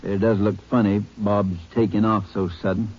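A man playing a polite, soft-spoken small-town sheriff in an old radio drama. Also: on the low side, level tone, normal speed, lightly acted. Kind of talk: 1950s radio acting. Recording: dull old radio recording.

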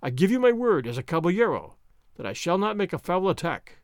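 A man voicing a cool, confident masked swordsman hero who gives calm, commanding orders with a mocking edge. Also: sits high, fairly quick, no room sound, clean studio sound. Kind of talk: audiobook character reading.

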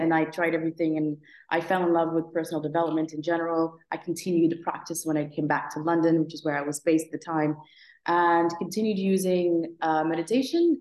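A woman in her thirties, originally from Morocco, speaks as an interview guest with a low, even-paced voice. She talks in a reflective way, stopping for fillers and restarts. Her voice carries a noticeable room echo. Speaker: guest, app founder. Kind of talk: conversational interview.

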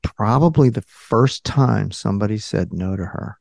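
A man's voice: on the low side, moderate pace, gravelly, a little mellow, dry recording, clean studio sound. A man from New Jersey hosting a casual interview podcast, talking in loose restarts and fillers. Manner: conversational, trailing restarts and fillers. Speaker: podcast host.

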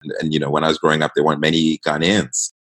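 A man in his thirties with an extremely low voice, raised in East Africa. He talks as an interview guest, reflective and unhurried, and leans on fillers as he tells his story. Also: monotone, fairly bright tone. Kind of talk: casual interview talk.

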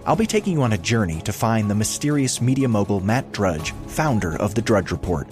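A man's voice: lowish voice, big pitch swings, fairly quick, a little mellow, soft background music. A man reading a scripted promo as a podcast host.

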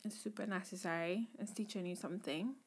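A woman co-hosting a podcast in casual chat, animated and encouraging, laughing often. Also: mid-range voice, a little fast, slightly rough. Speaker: podcast co-host.

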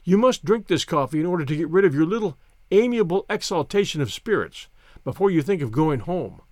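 A man voicing an effusive, flattering old French soldier with a grandiloquent, wily manner in a story reading. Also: on the high side, dry recording, studio quality, close to an everyday voice. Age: older.

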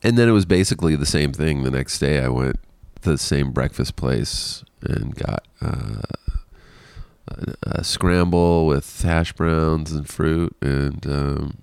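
A man's voice: rumbling low, creaky, darkish tone, clear, resonant tone, no room sound, studio quality. A man hosting a casual solo podcast, rambling and self-deprecating with frequent laughs, sounding congested and nasal. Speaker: podcast host.

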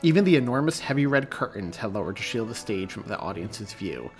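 A man, the author, reading his own story aloud in a steady, even audiobook narration. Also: on the low side, fairly quick, soft background music.

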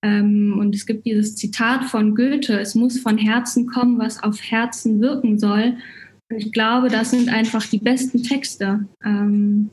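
Higher-pitched German woman, casual podcast guest. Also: flat delivery, measured pace, darkish tone, rough recording. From Cologne, Germany. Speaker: podcast guest, writing coach. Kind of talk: casual conversational interview.

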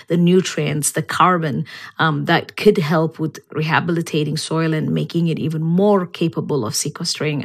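A woman speaking as an expert guest in an interview, explaining science in a measured way. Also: sits low.

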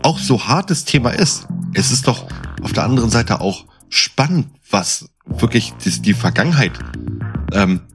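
A man in a casual conversation, explaining things in a colloquial, animated storytelling way as a podcast co-host. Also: mid-range voice, normal speed. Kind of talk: casual chat, explaining and quizzing. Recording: music behind the voice. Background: music.

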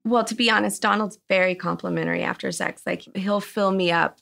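A woman with a creaky, mid-pitched voice in candid conversation. She is frank and circles back over her words to make her point. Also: fairly quick, a bit bright. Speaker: therapy client, wife and mother.

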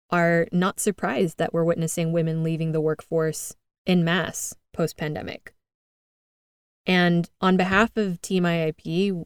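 A woman's voice: lowish voice, a little mellow, clear, resonant tone, no echo. Earnest American woman hosting a podcast. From United States. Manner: earnest, upbeat, with light laughs. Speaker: podcast host. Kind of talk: scripted podcast monologue.

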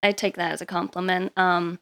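A woman from Taiwan, a cartoon animator guest, speaking hesitantly with many ums and soft laughs. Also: lowish voice, flat delivery, fairly quick, clean tone. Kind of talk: casual interview chat.

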